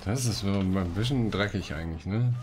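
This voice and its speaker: A German man hosting a let's play, casually commenting on the game in a relaxed, chatty way with enthusiastic slang. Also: low voice, normal speed, slight background noise.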